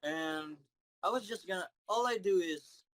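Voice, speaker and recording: A young man, answering interview questions in a shy, halting way with many fillers. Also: high voice, a bit bright, no room sound. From Philippines. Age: 20s. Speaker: interview guest, singer.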